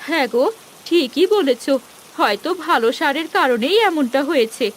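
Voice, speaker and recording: Woman playing a high-voiced fussing village mother. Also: normal speed, a little hiss or hum, lightly acted. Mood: wondering. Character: practical village mother, polite but mildly scolding. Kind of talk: cartoon fairy-tale voice acting.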